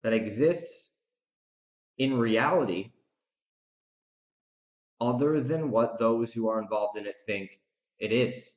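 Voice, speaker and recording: A man's voice: medium pitch, takes it slowly, long pauses. Man in a roomy, dull recording. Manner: emphatic, repeats phrases and restarts for effect. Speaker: podcast host and author. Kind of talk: reflective solo recap commentary, part rant.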